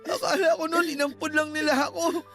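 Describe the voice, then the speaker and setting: A man's voice: very high voice, level tone, brisk. A man playing a polite, bewildered long-lost son in a Filipino radio drama, with a Filipino accent. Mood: hurt, sorrowful. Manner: earnest, respectful. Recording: music under the voice.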